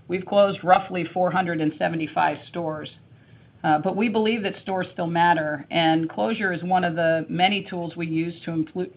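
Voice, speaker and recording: A woman executive answering analyst questions on an earnings call, measured, with frequent ums and restarts. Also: fairly low pitch. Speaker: company executive. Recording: tinny phone line.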